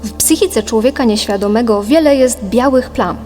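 A woman reading a scripted philosophical audiobook in a measured, didactic manner. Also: fairly high pitch, a little mellow, lightly acted. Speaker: audiobook narrator. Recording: long reverb, music behind. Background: music.

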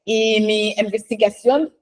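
Slow, hesitant woman from Haiti. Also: mid-range voice, fairly even intonation, a little mellow. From Haiti, raised in Montreal, Canada. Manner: slow, hesitant, frequent fillers and self-corrections, laughs, tag questions. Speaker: professor speaking at a seminar. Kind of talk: informal self-introduction. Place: meeting room, indoors. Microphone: handheld microphone.

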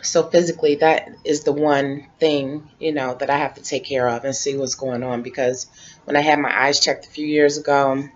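Woman in her late forties, relaxed rambling chat, couch at home, muffled webcam sound. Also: rumbling low, normal speed. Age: 40s. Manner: relaxed, rambling, repeats herself. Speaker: vlogger. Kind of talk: casual talk to camera. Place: living room, seated on a couch. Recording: dull lo-fi webcam audio.

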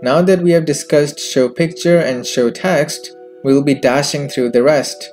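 A man presenting a software tutorial in scripted narration, recorded as a close voice-over with music behind him. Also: on the high side, fairly even intonation, unhurried. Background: background music.

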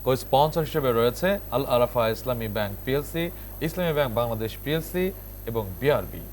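A man's voice: medium pitch, expressive, brisk. Male host, Bangladeshi accent, mid-range voice, formal presenter delivery, some background noise. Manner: formal announcer-like address to viewers. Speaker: TV show host. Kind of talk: presenting a children's song programme.

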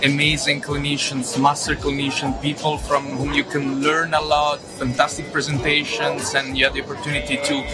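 A man's voice: fairly high pitch, monotone, moderate pace, few pauses. Enthusiastic male attendee over music. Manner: enthusiastic, listing. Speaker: congress attendee. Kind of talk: vox-pop interview. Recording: music under the voice.

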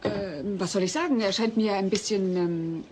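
A woman's voice: mid-range voice, normal speed, lightly acted. A woman playing a polite, flustered suburban mother in a sitcom audio drama, hesitant and placating. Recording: noisy cassette audio drama.

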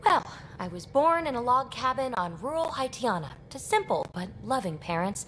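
An actress playing a restless, quick-witted young female alien scavenger in a video game, talking in a breezy, teasing way. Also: fairly high pitch, very animated, a bit bright, a little hiss or hum. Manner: breezy, teasing, fast-thinking. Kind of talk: video game cutscene dialogue.

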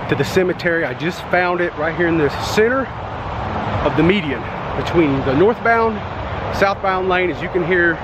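A man in his forties chatting casually as he films himself with a phone at arm's length, walking outdoors by a busy highway. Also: animated, normal speed. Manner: chatty, thinks aloud with many "uh"s. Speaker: vlogger exploring abandoned places. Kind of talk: casual walk-and-talk vlog. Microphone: phone held at arm's length. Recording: traffic noise behind. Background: passing cars.

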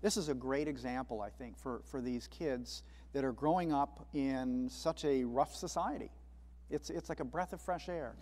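A man's voice: medium pitch, normal speed, darkish tone, big-room sound. A man speaking reflectively on a panel discussion, acting out imagined dialogue as he explains. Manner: reflective, acts out quoted dialogue.

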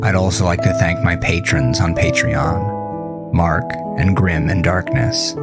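A man reading scripted first-person horror narration in a dramatic, deliberate storytelling manner, as a podcast host. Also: low-pitched.

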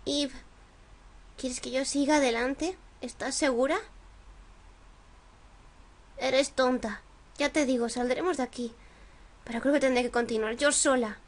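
A woman's voice: high voice, fairly even intonation, measured pace, long pauses. A woman with an accent from Spain voicing an eager, bossy young girl in a game, impatient to escape. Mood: disappointed, cold. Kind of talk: acting out game dialogue.

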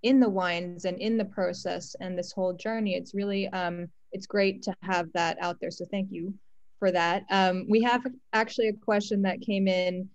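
A woman hosts a panel over dry, slightly lo-fi call audio. She moderates conversationally, in an appreciative and encouraging manner. Her voice is of medium pitch. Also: fairly even intonation, normal speed, a little mellow, no echo. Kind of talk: conversational hosting, relaying audience questions. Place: remote virtual panel call.

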